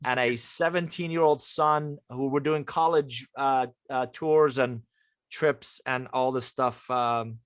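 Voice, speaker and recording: Man, fifties, Persian native speaker from Iran, emphatic conversational storyteller, mid-pitched, close dry recording. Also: fairly even intonation, slow pace, no echo. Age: 50s. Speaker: interview guest, leadership coach and author.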